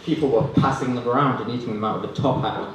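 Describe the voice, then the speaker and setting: A man performing stand-up comedy, hesitant and self-deprecating, reading parody prose in a deadpan way. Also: medium pitch, quick pace, recorded from a distance. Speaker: stand-up comedian reading own mock novels. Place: student union comedy stand-up event, indoors. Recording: roomy, noisy live room recording from afar. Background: audience laughter, room noise.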